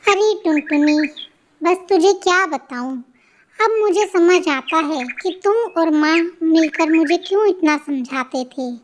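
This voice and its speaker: A lazy elder-sister bird, played by a woman, very high in pitch and full of complaint and self-pity. It is children's cartoon storytelling, with faint noise and chirping behind the voice. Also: unhurried. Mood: regretful. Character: lazy, work-shy married female bird, elder sister.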